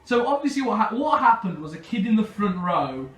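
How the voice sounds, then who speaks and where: A man's voice: sits high, very animated, fairly quick. A man casually telling a funny story to a group, chatty and jokey with a mock-grand turn of phrase. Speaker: documentary host and pantomime performer. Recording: echoey room, distant mic, background noise.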